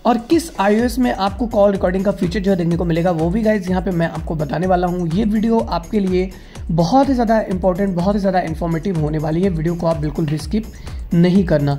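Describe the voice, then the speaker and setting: Mellow-voiced man hosting a tech tutorial. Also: high-pitched, fast talker, faint music behind. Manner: repetitive, explanatory, addresses viewers informally as friends. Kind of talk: casual phone tutorial.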